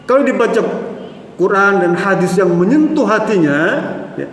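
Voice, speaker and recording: High-voiced preacher in an echoey hall. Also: male voice, expressive, normal speed, slight background noise. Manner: addresses the audience as "Pak", tells stories with acted quotes. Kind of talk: Islamic sermon storytelling. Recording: echoey hall with faint ambience.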